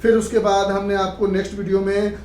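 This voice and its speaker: A woman's voice: medium pitch, level tone, fast talker, darkish tone. Echoey, distant female physics teacher. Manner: instructive, repeats and checks understanding; mixes in English terms. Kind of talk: classroom lecture. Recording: echoey room, distant mic, background noise.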